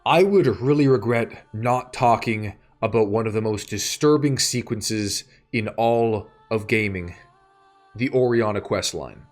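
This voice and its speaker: A man narrating a scripted video essay in a measured way, pausing between short phrases. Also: on the low side. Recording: music bed behind the voice. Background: background music.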